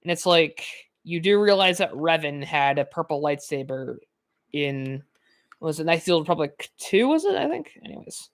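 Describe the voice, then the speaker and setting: A nineteen-year-old woman talks over her gameplay in a loose, rambling way, stacking fillers and breaking into little laughs. Her voice sits a bit low for a woman. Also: lively intonation, normal speed. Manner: chatty, filler-heavy, laughs often. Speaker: gaming YouTuber. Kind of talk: rambling let's-play commentary.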